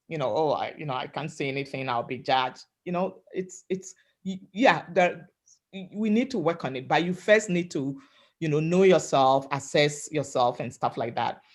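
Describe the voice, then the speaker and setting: A woman from Africa speaking on a webinar panel, giving an emphatic motivational talk in a loud voice over a video call and often asking "right?". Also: deep voice. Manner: loud voice, emphatic, repeats "right?" and "you know".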